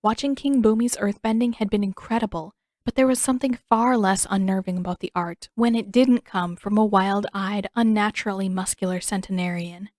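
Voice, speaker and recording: A woman reading a scripted story as an audiobook narrator, measured and expressive in her storytelling. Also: medium pitch, no echo, clean studio sound.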